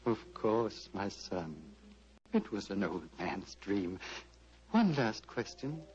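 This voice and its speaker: An actor playing an old, fatherly Tibetan monastery lama in a radio drama, speaking formally and with gentle wistfulness. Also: fairly low pitch, big pitch swings, takes it slowly. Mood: wistful, tender. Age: older. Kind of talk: 1940s radio drama acting. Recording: muffled vintage radio recording.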